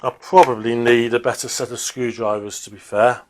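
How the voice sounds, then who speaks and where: A man talks casually at a medium pitch as he works at a hobby bench. His delivery is unscripted and hesitant, thinking aloud as he presents to viewers. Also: fairly even intonation, a little fast. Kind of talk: casual unscripted workbench commentary.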